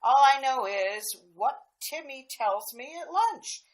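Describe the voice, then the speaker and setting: A cheerful, gossipy housewife chatting on the phone, with a breezy, lively voice. A woman acts her out in a radio drama. It sits a touch high and moves a lot. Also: slow pace. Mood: chatty. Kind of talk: amateur radio drama acting.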